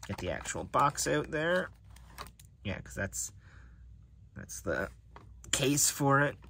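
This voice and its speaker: A man's voice: medium pitch, sing-song, takes it slowly, pushed and bright, slight background noise. A man casually narrating an unboxing of playing cards, musing to himself as he handles them. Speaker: card collector reviewing decks. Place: indoors at a table.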